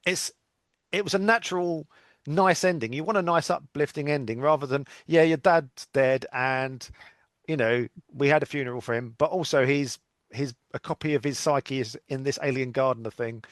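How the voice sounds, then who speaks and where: A man's voice: mid-range voice, normal speed, no echo, studio quality. A man with an English accent hosting a podcast in a casual, chatty review style. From England. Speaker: podcast host.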